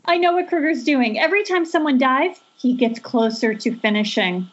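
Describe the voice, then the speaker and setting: A woman's voice: high voice, normal speed. A woman playing a determined, defiant pregnant young heroine in an amateur horror script table read. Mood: urgent, determined. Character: defiant pregnant young woman, Alice, shouting down her tormentor. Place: remote group voice call. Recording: muffled internet call audio.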